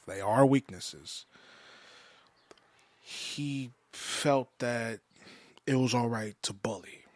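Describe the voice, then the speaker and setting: A man in his mid twenties giving a personal podcast monologue, halting and often restating himself for emphasis. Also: medium pitch, fairly bright tone, no room sound. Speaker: podcast host. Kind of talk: personal monologue, confessional storytelling.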